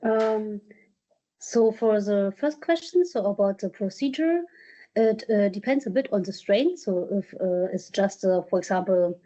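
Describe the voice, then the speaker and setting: A woman's voice: medium pitch, expressive, measured pace, dark tone. A woman presenter answering audience questions at a workshop, hesitant with many fillers and restarts. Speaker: presenter from a culture collection. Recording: dull lo-fi conference audio.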